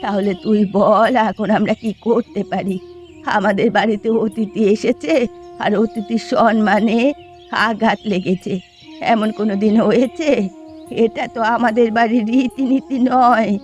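An elderly village mother from a cartoon, acted by a woman, proud and easily wounded. She speaks at a middle pitch for a woman, with music playing underneath. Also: fairly even intonation, a little slow, a little mellow, lightly acted. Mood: sad, grateful. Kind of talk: Bengali cartoon dialogue.

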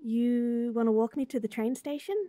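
A woman playing a sweet, bubbly young rental girlfriend in an intimate roleplay, with a giggly, gently reassuring manner. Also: a little high, steady tone, normal speed, no echo, lightly acted. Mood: apologetic, soft. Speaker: audio roleplay voice actor.

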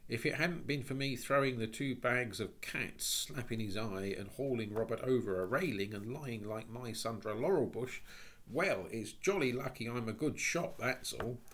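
A man voicing a confident, boastful schoolboy who tells his story in a brisk way. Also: medium pitch, fairly bright tone, pitched above the performer's own voice, lightly acted.

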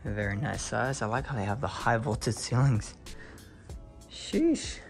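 A young man filming a casual home tour on a handheld phone, talking to viewers with excited exclamations and lots of fillers. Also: mid-range voice, a little slow, vocal fry, soft background music. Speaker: real estate video host. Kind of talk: casual walkthrough narration. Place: inside a large empty house.

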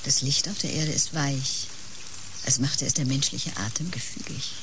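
An actress playing a fragile, dreamy woman, speaking in a hushed, halting, half-whispered way in a radio drama. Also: a bass voice, noisy background. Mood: dreamy, vulnerable. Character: fragile, dreamy woman feeling a strange change. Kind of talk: German science-fiction radio drama acting. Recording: loud rain behind the voice. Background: rain.

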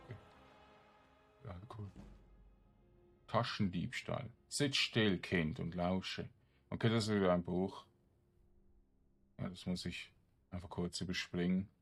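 A man's voice: sits low, steady tone, takes it slowly, gravelly, long pauses, husky. A man streaming a game, commenting informally to himself and his viewers and reading out game text. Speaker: gaming streamer, let's player. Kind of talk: casual game commentary with some read-aloud game text.

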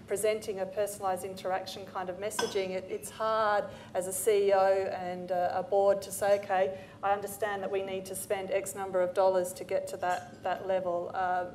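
A woman in her forties speaks on a discussion panel in a mid-range voice. She pauses and circles back as she searches for the right words. Faint room noise sits under her lapel mic. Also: mid-range voice, level tone, darkish tone. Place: event studio with branded backdrop.

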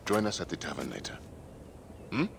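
An actor playing a hearty, protective man of ancient Egypt in a game, talking in a familiar, drawn-out way. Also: low voice, very animated, vocal fry, noisy background, full character voice. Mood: teasing. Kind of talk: dramatic game cutscene acting.

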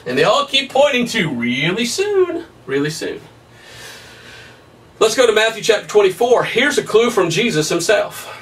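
A man in his thirties preaching a Bible study at a whiteboard, emphatic and excitable, his voice somewhat hoarse. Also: high voice, normal speed, distant mic. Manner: emphatic, rising to excited peaks, laughs at his own points. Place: small room in front of a whiteboard. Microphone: camera at a distance.

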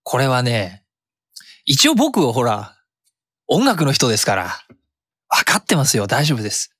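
A man's voice: mid-range voice, wildly swooping pitch, measured pace, fairly bright tone, husky, dry recording, studio quality. A man in his thirties co-hosting a casual podcast, joking and laughing often. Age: 30s. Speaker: podcast co-host, sound creator.

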